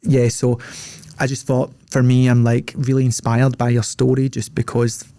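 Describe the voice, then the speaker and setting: A man's voice: mid-range voice, little pitch movement, moderate pace, dark tone, dry recording, clean studio sound. A young man with a Scottish accent hosting a podcast, chatting in a loose, rambling way full of fillers. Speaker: podcast host. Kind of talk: casual interview chat.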